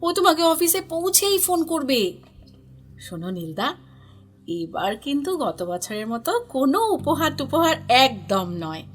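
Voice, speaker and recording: Bubbly young wife, audio drama, affectionate, coaxing, higher voice, animated melody. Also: female voice, unhurried. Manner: chattering, coaxing, familiar. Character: bubbly, affectionate young married neighbourhood woman.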